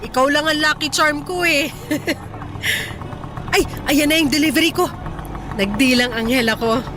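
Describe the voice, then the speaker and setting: A woman's voice: high voice, measured pace. A woman playing a hardworking, touchy middle-aged Filipina mother in a Tagalog radio drama, with an emphatic, reproachful and melodramatic delivery and a Filipino accent. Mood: cheerful, proud. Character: hardworking, touchy Filipina mother running an eatery, easily hurt. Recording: music under the voice.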